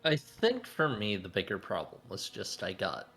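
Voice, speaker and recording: Low, creaky, animated male co-commentator. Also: moderate pace, faint music behind. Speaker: stream co-commentator. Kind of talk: casual chat about a game.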